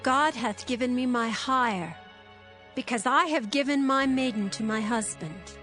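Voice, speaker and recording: A woman acts a proud, aggrieved wife of ancient times in an audio Bible drama. The voice is high and the delivery slow and emphatic, with music underneath. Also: fairly bright tone. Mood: proud, joyful. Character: Leah, proud, aggrieved biblical wife and mother.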